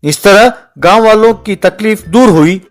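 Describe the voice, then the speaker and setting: A man's voice: high-pitched, unhurried. A man narrating a scripted children's story as its storyteller.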